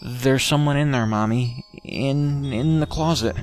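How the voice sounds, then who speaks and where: A man's voice: mid-range voice, a little slow, music in the background, pitched above the performer's own voice, lightly acted. A man voicing a frightened young boy, anxious and insistent, in a narrated scary story. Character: frightened young boy pleading with his mother.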